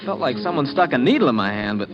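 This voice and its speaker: A man's voice: medium pitch, big pitch swings, fast talker, over music, natural voice. A man playing a restless, touchy young bridegroom in a 1940s radio drama, on a muffled recording. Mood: uneasy, touchy.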